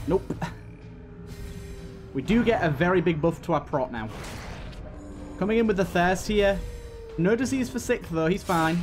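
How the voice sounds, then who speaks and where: A man giving casual gameplay commentary, thinking aloud and talking to the game's characters. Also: fairly high pitch. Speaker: gaming video host.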